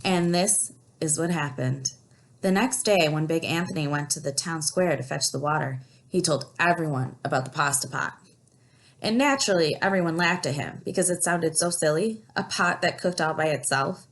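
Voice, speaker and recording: A woman teacher reading a story aloud to children, explaining and asking questions as she goes. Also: deep voice. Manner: explanatory, pauses to ask questions. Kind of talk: picture book read-aloud with asides to young listeners.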